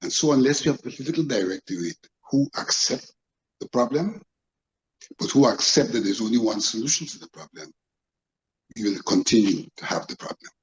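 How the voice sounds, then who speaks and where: A man with a Guyanese accent speaking as a panel guest, in formal, deliberate phrasing during a political discussion. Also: mid-range voice, lively intonation, slight background noise, low-quality recording.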